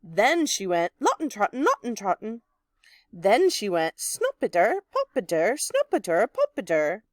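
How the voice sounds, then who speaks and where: Animated woman reading a children's story. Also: medium pitch, unhurried, no echo, clean studio sound. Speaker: storybook reader. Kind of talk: expressive children's read-aloud.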